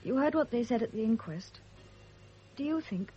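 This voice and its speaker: An actress playing a devoted, anxious young wife in a radio drama, earnest and apologetic. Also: female voice, on the high side, expressive, moderate pace, mellow, breathy edge. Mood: remorseful, anxious. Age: young adult. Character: anxious, devoted young pregnant wife, self-blaming and emotional. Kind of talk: period radio drama acting. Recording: dull, noisy old radio drama recording.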